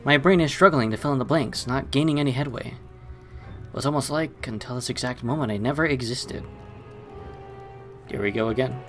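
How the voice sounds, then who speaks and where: A man's voice: mid-range voice, fairly quick, pauses often, faint music behind. A man hosting a let's play, mixing casual game commentary with reading story text aloud.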